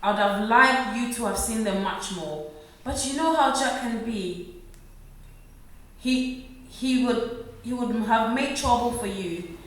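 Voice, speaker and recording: A woman playing a gentle, worried working-class mother in a radio play. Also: fairly high pitch, slow pace, pauses often. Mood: grateful, sorrowful. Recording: echoey room, far from mic.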